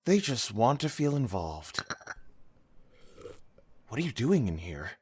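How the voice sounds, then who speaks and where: An awkwardly caring comrade in an audio drama. The delivery is casual and hesitant, yet varied in pitch. It sounds close and dry. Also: very animated, slow pace, mellow, breathy edge, no echo, exaggerated voice. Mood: casual, concerned.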